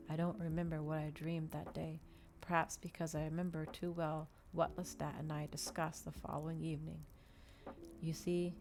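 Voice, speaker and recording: A woman streamer reading a story aloud in a soft, gentle, unhurried ASMR style, close to the microphone. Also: low-pitched.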